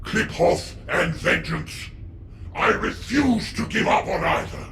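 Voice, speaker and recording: Vengeful alien warlord, very gravelly, swooping pitch, solemn, grandiose, game villain, echoey. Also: male voice, lively intonation, takes it slowly, exaggerated voice. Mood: grim resolve. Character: vengeful alien warlord swearing to restore his cause. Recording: echoey, distant, noisy ambience.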